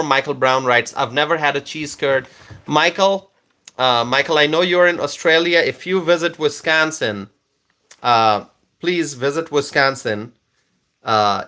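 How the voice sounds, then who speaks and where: A man whose first language is Bengali hosts a casual livestream, reading out comments and answering viewers. His voice is bright and forward at a middling pitch. He keeps pausing on fillers and repeating words as he talks.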